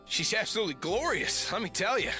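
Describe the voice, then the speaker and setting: Gushing game train enthusiast, high voice, effusive, boastful, music behind. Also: male voice, sing-song, quick pace, pushed and bright, exaggerated voice. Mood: adoring, proud. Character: gushing male railway enthusiast, adores his engine. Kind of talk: video game cutscene acting.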